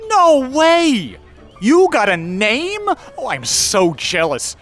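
An actor playing an uptight, awkward, eager imaginary boyfriend. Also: male voice, huge pitch swings, normal speed, a bit bright, soft background music. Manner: awkward, eager, swoops in pitch. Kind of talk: audio drama acting.

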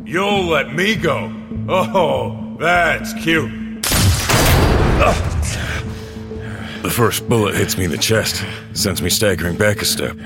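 An actor playing a gruff, sardonic aging courier with a gravelly voice in a dystopian action audio drama. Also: male voice, mid-range voice, animated, slow pace, pauses often, in a large hall, loud music behind the voice. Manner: sardonic, tough. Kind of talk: first-person audio drama narration and acting.

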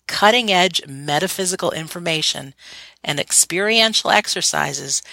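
Female host with a cold, bright and eager, dry close sound. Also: deep voice, gravelly, no room sound. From Massachusetts, USA. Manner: bright, eager, laughs easily. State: has a cold. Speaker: radio show host. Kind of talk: enthusiastic interview hosting.

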